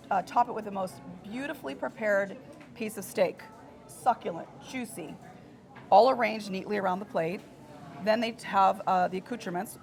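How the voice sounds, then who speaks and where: A woman with a hoarse, froggy voice, chatting enthusiastically as a food show co-host in a restaurant. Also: mid-range voice. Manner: enthusiastic, gushing about food. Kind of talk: casual chat on a food show. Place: restaurant dining room.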